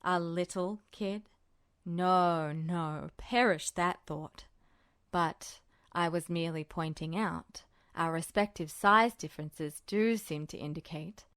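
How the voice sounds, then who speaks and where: A woman voicing a smug, pompous man with a formal, wordy, measured way of talking in an audiobook-style story. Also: lowish voice, fairly bright tone, clean tone, dry recording, clean studio sound, voice pushed down. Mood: smug, teasing. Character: smug, pompous, teasing adult man, highbrow and businesslike.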